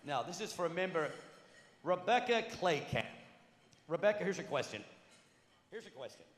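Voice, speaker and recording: Lively man emceeing in a hall. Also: high-pitched, normal speed. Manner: jokey, lively presenter. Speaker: event host. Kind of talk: emcee at a conference. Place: large conference hall. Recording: long hall reverb.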